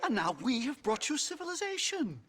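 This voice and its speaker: An actor playing a pompous, excitable academic professor in a video game, stammering and condescending as he talks. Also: extremely high pitch, a little fast, heavily acted. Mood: self-satisfied. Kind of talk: theatrical video game acting.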